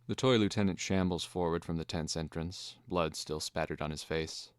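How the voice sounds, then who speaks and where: A man narrating a screenplay as a scripted dramatic reading, in a measured way. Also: low voice, steady tone, no echo, studio quality.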